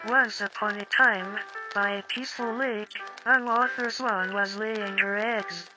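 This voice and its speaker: A woman's voice: mid-range voice, steady tone, unhurried, low-quality recording. A woman's text-to-speech voice narrating a scripted storybook tale. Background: music.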